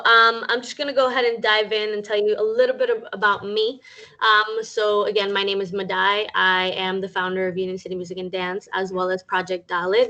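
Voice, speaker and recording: Young woman from Cuba, chatty talk over a video call, medium pitch, lots of ums. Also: sharp tone, rough recording. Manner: chatty, many um fillers. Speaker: guest speaker.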